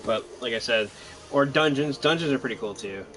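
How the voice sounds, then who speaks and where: A man's voice: medium pitch, moderate pace, fairly bright tone, poor audio. A man giving casual let's-play commentary in a laid-back, hesitant, rambling way. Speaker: gaming commentator.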